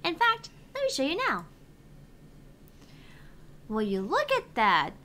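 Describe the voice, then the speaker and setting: A bright, sparkly-toned female app assistant played by a woman guides a player through a visual novel game. She is chirpy, playful and encouraging, with big swoops in pitch. There is noticeable background noise. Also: wildly swooping pitch, takes it slowly, exaggerated voice. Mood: upbeat, reassuring. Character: perky, reassuring female phone app assistant. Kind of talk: reading visual novel game dialogue aloud.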